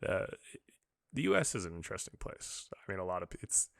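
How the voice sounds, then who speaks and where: A man chatting casually as a podcast host and interviewer, talking in an informal, rambling, halting way. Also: sits low, very animated, creaky, fairly bright tone, no room sound, studio quality. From born in England, moved to the US as a child. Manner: informal, rambling, halting, says "dude" and "like" a lot.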